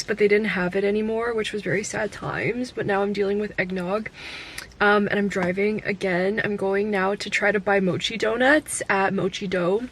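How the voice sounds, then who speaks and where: A woman's voice: mid-range voice, a little hiss or hum. A young adult woman with a Canadian accent, talking to the camera in a casual, upbeat vlog full of fillers. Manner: chatty and upbeat, many fillers. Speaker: vlogger.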